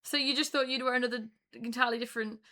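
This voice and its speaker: A woman co-hosting a casual podcast, chatty and quick to laugh, with lots of fillers. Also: a little high, steady tone, bright, forceful tone. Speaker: podcast co-host. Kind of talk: casual chat.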